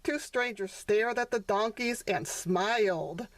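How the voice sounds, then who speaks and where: A woman's voice: medium pitch, slow, no room sound. A woman reading her own children's book aloud as a storybook narrator.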